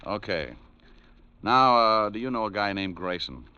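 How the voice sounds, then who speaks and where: An actor playing a wry, hard-boiled private detective, dry and sardonic, in a 1940s radio noir drama. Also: male voice, lowish voice, animated, unhurried, pushed and bright, smooth. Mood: dry, patient. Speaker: lead actor and narrator. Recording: dull vintage radio recording.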